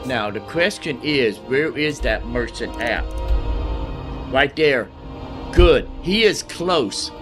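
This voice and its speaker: Male gaming host, mid-pitched, folksy and repetitive, casual play-by-play with clear music underneath. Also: expressive, slow. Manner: repeats his phrases, folksy slang. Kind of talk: casual gameplay commentary.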